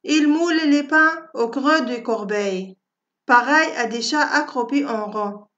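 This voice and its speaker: A woman reciting a poem for schoolchildren in a measured, deliberate, carefully phrased way. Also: sits high, fairly bright tone. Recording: dull, lo-fi audio.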